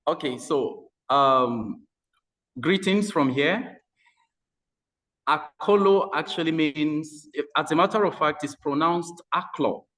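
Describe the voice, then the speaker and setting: A man with a Nigerian accent speaking as a webinar presenter, polite and unhurried with hesitant pauses, on a video call. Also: a little high, a bit bright. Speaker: webinar speaker, editor and poet. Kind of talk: informal opening of an online presentation.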